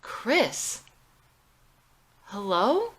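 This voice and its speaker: A woman's voice: fairly high pitch, huge pitch swings, very slow, husky, pitched above the performer's own voice, heavily acted. A woman reading the part of an anxious, disillusioned young photographer in a drama script, hesitant and emotional. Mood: frantic shouting.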